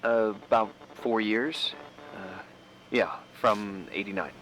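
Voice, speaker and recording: A man's voice: fairly low pitch, steady tone, slow, fairly bright tone, slight background noise, rough recording. An actor playing a casual, wry and mildly skeptical man in naturalistic game dialogue, offhand and hesitant, a little evasive. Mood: awkward, casual.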